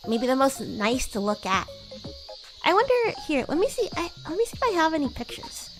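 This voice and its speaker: Bratty demon classmate voiced by a woman, high-pitched and girlish, playful VTuber roleplay with light music underneath. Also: sing-song, normal speed, rough recording. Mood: playfully insistent. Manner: playful, giggly, teasing. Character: bratty young demon schoolgirl class president with baby wings. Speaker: VTuber streamer. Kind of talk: in-character roleplay livestream chat.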